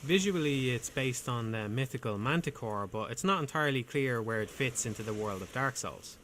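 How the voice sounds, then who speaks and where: A man reading scripted game commentary in a calm, measured, dryly wry way with a soothing voice. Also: mid-range voice, a little hiss or hum.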